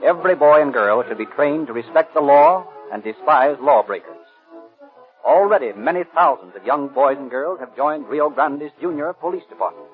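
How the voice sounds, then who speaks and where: A man announcer giving a persuasive, declamatory sponsor pitch on a 1930s radio show. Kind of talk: 1930s sponsor advertisement reading. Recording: tinny lo-fi old radio recording.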